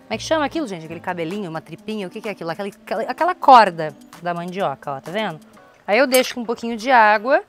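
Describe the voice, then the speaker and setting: A woman in her thirties with a Brazilian accent, casually explaining a recipe step by step in an informal, chatty way. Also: medium pitch. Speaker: cooking show host. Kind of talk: casual cooking demonstration. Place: open-sided thatched outdoor kitchen. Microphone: lapel.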